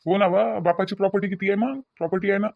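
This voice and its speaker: Woman voicing a quick-talking, placid Varhadi father-in-law. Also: medium pitch, fairly even intonation, gravelly, dry recording, narrow, tinny audio. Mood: easygoing. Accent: Varhadi Marathi from Vidarbha. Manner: conciliatory, matter-of-fact. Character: placid older father-in-law, mild peacemaking rural husband. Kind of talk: cartoon comedy dialogue.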